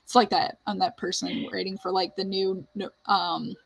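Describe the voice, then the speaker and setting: A woman's voice: medium pitch, little pitch movement, moderate pace, creaky, breathy edge, rough recording. A woman hosting a casual writing livestream, talking in a chatty, informal way full of "like". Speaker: livestream host.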